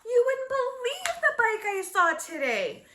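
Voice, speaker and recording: An eager, literal-minded little girl played by a woman reader in a storybook. Her voice is high and bright, full of exclamations and persistent questions. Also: huge pitch swings, unhurried, slight background noise, heavily acted. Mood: excited, insistent. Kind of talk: children's storybook read-aloud.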